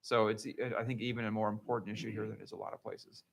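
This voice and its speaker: A man, a legislator answering constituents at a town hall in a measured, hedging way. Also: fairly low pitch, flat delivery, gravelly, slight background noise. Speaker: state legislator. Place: public meeting room.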